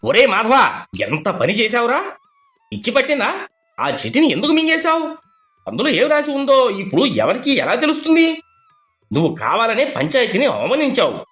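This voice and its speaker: A man's voice: very high voice, huge pitch swings, moderate pace, pauses often, fairly bright tone, exaggerated voice. A man voicing a scheming, falsely pious village moneylender in a pompous, theatrical way for a moral story. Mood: outraged.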